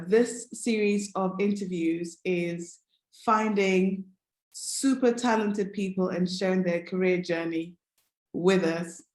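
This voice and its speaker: Lower-pitched woman host, enthusiastic and gushing, in a reverberant room. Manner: enthusiastic, effusive praise. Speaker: interview host. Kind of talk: upbeat interview hosting.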